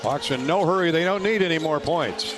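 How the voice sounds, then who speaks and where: A man doing live radio basketball play-by-play in clipped, punchy, excited phrases from an arena. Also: fairly high pitch, brisk, over music.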